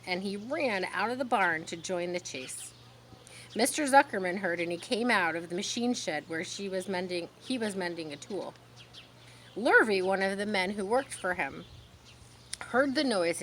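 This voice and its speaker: A woman reading a children's story aloud expressively, pausing to explain words in simple asides. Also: mid-range voice, a bit bright, slight background noise. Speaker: storybook reader.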